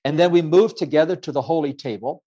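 A man's voice: a little high, mellow, slightly rough. Reflective male author guest, raised in Ecuador, thoughtful delivery, lo-fi remote sound. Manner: measured, thoughtful, many fillers. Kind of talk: reflective interview answer.

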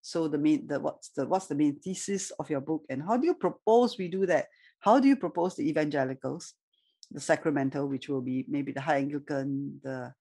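A man's voice: a little high, darkish tone. A man hosting an interview, asking long questions and searching for words as he goes. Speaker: podcast host.

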